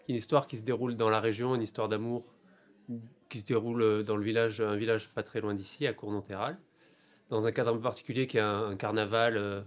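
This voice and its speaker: A man's voice: lowish voice, flat delivery, fast. Hesitant, thoughtful man in his forties. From France. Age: 40s. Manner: hesitant, many fillers and restarts, thoughtful. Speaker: author. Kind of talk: bookshop interview, talking about his books.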